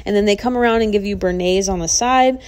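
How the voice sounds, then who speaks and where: A woman's voice: mid-range voice, quick pace, darkish tone, smooth. A woman vlogger narrating a casual voice-over with gushing enthusiasm. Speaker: vlog host.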